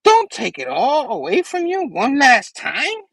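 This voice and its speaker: Man putting on a high, taunting comic-book goblin villain. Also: wildly swooping pitch, unhurried, fairly bright tone, no room sound, clean studio sound, full character voice. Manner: theatrical, mocking delivery.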